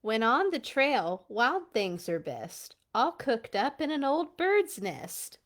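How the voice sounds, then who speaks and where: High, animated woman reading to children. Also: slow pace. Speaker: children's read-along host. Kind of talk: reading aloud to children with casual asides.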